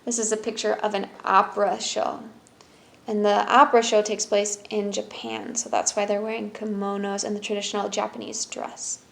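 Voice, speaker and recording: A woman's voice: medium pitch, flat delivery, moderate pace, gravelly, reverberant. A woman reading a children's picture book aloud as a storyteller, in narration with brief explanatory asides.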